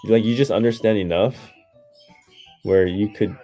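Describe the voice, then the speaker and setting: A man hosting a livestream, chatting and reading comments in a soft, hesitant way with lots of fillers, low on energy and sleepy. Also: steady tone, unhurried, soft background music. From United States. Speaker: livestream host.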